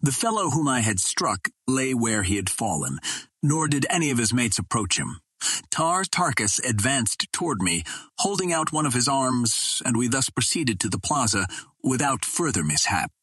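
A man reading a classic adventure novel aloud as scripted narration, in a measured, formal storytelling manner. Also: mid-range voice, sing-song, a bit bright, slightly rough, no echo. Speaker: audiobook narrator.

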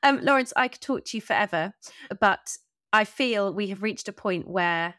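A woman's voice: medium pitch, lively intonation, moderate pace, a bit bright, no room sound, clean studio sound. A woman hosting an interview podcast, asking wordy, reflective questions in a conversational, playful way.

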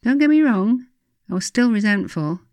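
A woman's voice: on the high side, normal speed, clear, resonant tone, no echo. English woman narrating, close and dry. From England. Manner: confiding, expressive storytelling with emphatic asides. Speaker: author reading her own book. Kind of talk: scripted first-person audiobook narration.

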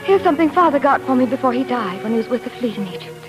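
A woman's voice: high-pitched, lively intonation, very fast, music in the background. An actress playing a devoted, wistful young woman in love, speaking earnestly in 1940s radio drama style. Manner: earnest, romantic delivery.

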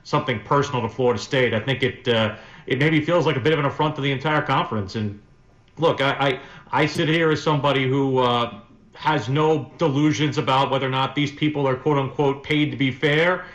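Man, sports radio guest, mid pitch, measured and hesitant conversational delivery, echoing room sound. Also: fairly bright tone. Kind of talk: casual sports interview answers.

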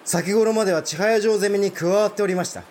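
Casting: proud, outspoken samurai male actor, notably high voice, formal archaic delivery, period audio drama, faint background noise. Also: brisk, darkish tone, natural voice. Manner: formal archaic warrior speech, forthright. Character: blunt, proud provincial samurai lord, openly indignant. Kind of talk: Japanese historical audio drama.

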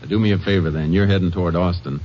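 An actor playing a steady, thoughtful Texas Ranger investigator, terse and matter-of-fact, in an old-time radio drama. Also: male voice, low-pitched, fast. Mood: thoughtful, businesslike. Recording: muffled vintage radio recording.

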